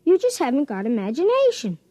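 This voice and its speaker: High-voiced know-it-all little boy. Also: huge pitch swings, a little fast, mellow, no room sound. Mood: superior. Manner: matter-of-fact, slightly condescending explaining. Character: know-it-all six-year-old boy, matter-of-fact and superior. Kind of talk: 1950s radio drama acting.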